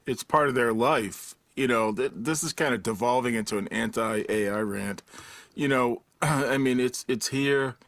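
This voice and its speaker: Blunt older man, medium pitch, dry sound. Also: unhurried, a bit bright, no room sound. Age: 60s to 70s. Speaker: panelist, audio drama creator. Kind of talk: casual, opinionated discussion.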